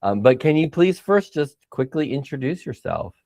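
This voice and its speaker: A man's voice: medium pitch, big pitch swings, normal speed, darkish tone, dry recording, clean studio sound. A man hosting a podcast interview over a video call, speaking in a friendly, informal way with short remarks. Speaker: podcast host. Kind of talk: casual interview.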